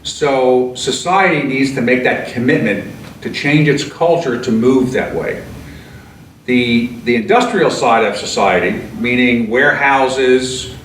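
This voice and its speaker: Older man in his sixties, earnest and steady, frequent "uh"s, recorded off-mic in an echoey room with noise behind. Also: mid-range voice, distant mic. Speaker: retired fire chief and author. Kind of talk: interview answers.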